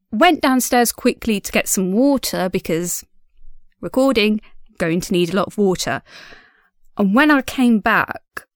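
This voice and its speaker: A woman speaking in an informal audio diary, chatty and self-deprecating in an animated way. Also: medium pitch, clear, resonant tone, no room sound, studio quality. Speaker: audio drama creator and diary host.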